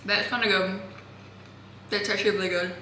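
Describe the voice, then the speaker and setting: A woman's voice: mid-range voice, fairly quick. Sarcastic woman vlogging, roomy sound. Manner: rambling, sarcastic, deadpan asides. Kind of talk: casual vlog chat. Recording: roomy with music bed.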